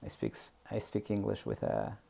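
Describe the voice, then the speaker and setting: A man's voice: low voice, very animated, moderate pace, gravelly, mellow, breathy edge. A man from Peru with a Spanish accent, telling his life story in a hesitant, reflective way with many fillers. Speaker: memoir storyteller. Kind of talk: personal reminiscence.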